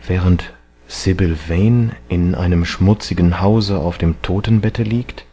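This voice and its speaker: Guy reading as sad gentle painter in an audiobook, low slow voice, strained, reproachful. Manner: hushed, strained, earnest. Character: grieving, reproachful male painter, earnest and gentle.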